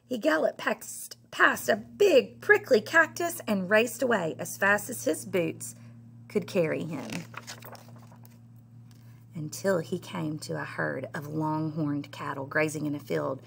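A middle-aged woman, a teacher, reading a children's story aloud in an expressive, emphatic way. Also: on the low side, slow pace, creaky, slight background noise. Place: indoor school classroom.

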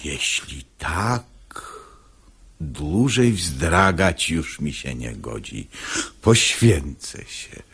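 An actor playing a sanctimonious, scheming hypocrite who feigns wounded humility in unctuous, martyred verse in a radio comedy. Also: male voice, extremely low pitch, sing-song, drawn-out words, fairly bright tone, breathy edge, exaggerated voice.

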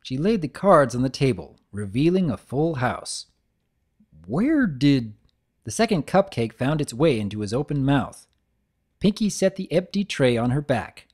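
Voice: medium pitch, very animated, moderate pace. A narrator giving a dramatic reading of a fan fiction story.

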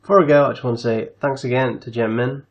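A man hosting a casual software tutorial, explaining things step by step. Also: medium pitch, steady tone, fast, highs cut off. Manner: step-by-step instructional. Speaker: tutorial host.

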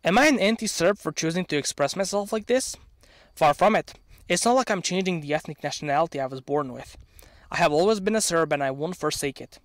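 A young man in his early twenties from Bosnia, a Serbian speaker, delivering a prepared opinion speech outdoors into a handheld microphone, earnest and measured with sardonic touches. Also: fairly high pitch, no echo. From Bosnia and Herzegovina. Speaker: channel owner. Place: outdoors in an open field.

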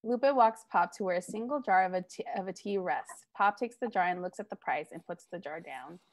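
A woman's voice: lowish voice, brisk. A woman in her thirties reads scripted stage directions aloud at a table read, on an earbud headset over a video call from home. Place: living room at home.

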